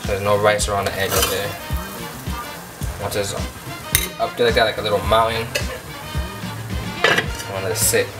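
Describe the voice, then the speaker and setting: A Puerto Rican man hosting a home cooking show, walking through a recipe step by step in a relaxed, chatty way. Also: lowish voice, normal speed, pauses often. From Puerto Rico. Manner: laid-back, chatty step-by-step instructions. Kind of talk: casual cooking demonstration. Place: home kitchen. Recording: distant mic under loud music.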